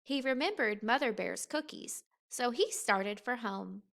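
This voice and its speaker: A woman reads a picture book for young children. Her voice is fairly high and bright, and she takes her time, shaping each phrase expressively and deliberately. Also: lively intonation, creaky. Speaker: teacher reading a picture book aloud. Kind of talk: expressive children's read-aloud storytelling.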